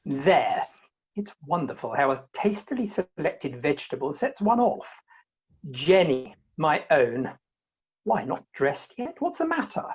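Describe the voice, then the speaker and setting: A woman playing a bashful, self-righteous young bridegroom in a comic radio play, speaking in a mannered, fastidious way. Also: low-pitched, very animated, breathy edge, heavily acted. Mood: self-satisfied. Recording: dull, lo-fi video-call recording.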